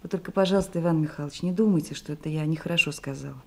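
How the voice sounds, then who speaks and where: A woman's voice: deep voice, racing. An actress playing a sensitive, anxious woman shyly in love in a Russian radio play, impulsive and emotional. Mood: agitated, tender.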